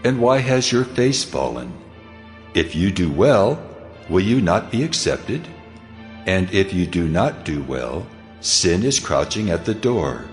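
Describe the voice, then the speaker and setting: A man acts out God as a stern deity with a very low voice. He pronounces his words with solemn command, as in a scripture drama. Music plays softly behind him. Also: very animated, slow pace, exaggerated voice. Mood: stern questioning.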